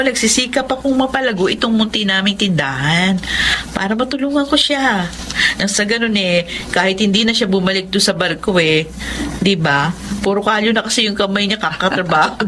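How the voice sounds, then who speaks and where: A woman's voice: medium pitch, moderate pace, a bit bright, slight background noise, natural voice. A woman playing a polite, devoted young wife. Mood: cheerful. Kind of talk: radio drama acting.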